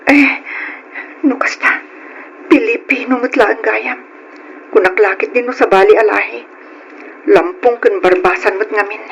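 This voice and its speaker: A woman's voice: on the high side, a little slow, creaky, pauses often, a bit bright, lo-fi sound, a little hiss or hum. A woman playing a caring, timid young Filipina working abroad in an old-style radio drama. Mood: nervous. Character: young Filipina migrant worker, caring older sister, timid. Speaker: narrator and lead of a letter drama.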